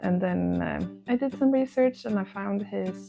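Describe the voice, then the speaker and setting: Nervous Swedish woman with a gravelly edge. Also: medium pitch, moderate pace. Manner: hesitant, many uh fillers, nervous laughs. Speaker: art vlogger. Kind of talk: casual vlog talk to camera. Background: soft guitar music.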